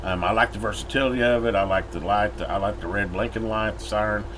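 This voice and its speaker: A man's voice: on the low side, fast talker. An American man giving a casual, unscripted product review, recorded inside a metal building. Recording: clear steady background noise.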